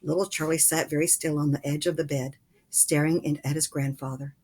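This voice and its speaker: A woman reading a children's book aloud as an expressive narrator. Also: sits low, a little fast, husky. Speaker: storybook reader.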